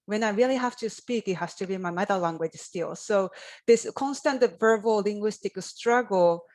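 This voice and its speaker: A woman's voice: medium pitch, moderate pace, a little mellow. Earnest woman on a video call. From Japan. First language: Japanese. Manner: earnest, reflective, speaks at length. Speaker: college faculty panelist. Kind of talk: panel discussion.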